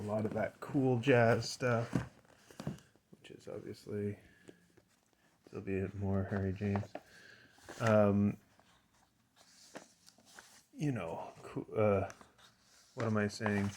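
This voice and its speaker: A man's voice: on the low side, steady tone, slow pace, long pauses, darkish tone, a little hiss or hum. A man giving a casual, unscripted show-and-tell as a collector, hesitant and rambling, often trailing off mid-thought. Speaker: record collector and seller showing items.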